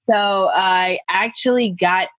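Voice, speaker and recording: A woman's voice: medium pitch, slow pace, a bit bright, dry recording, dull audio. A woman in her twenties from Atlanta, a cheerful guest talking about her start in real estate investing. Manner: cheerful, laughs easily. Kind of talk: casual interview.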